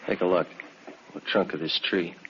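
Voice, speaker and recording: Police detective, low voice, clipped and matter-of-fact, old radio crime drama, dull noisy recording. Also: male voice, fairly even intonation, normal speed, a bit bright. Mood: terse, watchful. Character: terse, methodical male police detective searching outdoors.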